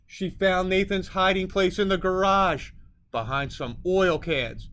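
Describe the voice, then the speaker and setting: Higher-voiced man reading a children's story. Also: fairly even intonation, a little slow, a bit bright. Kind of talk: expressive scripted narration.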